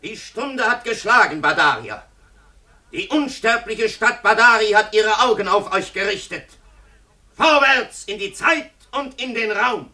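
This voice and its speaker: An actor in a German radio play voicing a grandiose, fearless warrior commander from another age, solemn and declamatory. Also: male voice, sits high, lively intonation, unhurried, pushed and bright, heavily acted. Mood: fervent battle cry.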